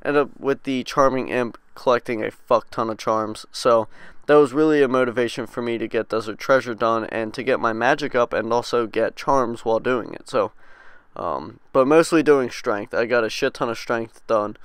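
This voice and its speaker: A man's voice: medium pitch, normal speed, clear, resonant tone, no room sound. Young guy with a head cold, nasal and stuffy, easygoing gameplay commentary, clean dry voice-over. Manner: laid-back, rambling, frequent ums and swearing. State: congested, sniffly from a cold. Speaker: gaming channel host. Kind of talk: casual voice-over game commentary.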